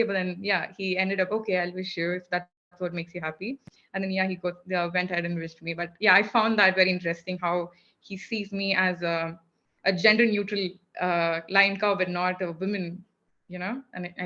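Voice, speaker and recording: A woman's voice: fairly low pitch, steady tone, brisk, a bit bright. Woman chatting on slightly muffled audio. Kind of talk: casual group chat, personal anecdotes.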